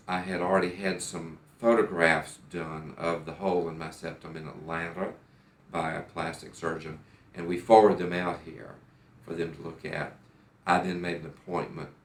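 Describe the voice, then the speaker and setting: A very low-voiced American man gives a patient's testimonial in a deliberate, careful way and often circles back to restart a thought. He is recorded in a doctor's office, and the room sounds noticeably echoey. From United States. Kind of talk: personal testimonial.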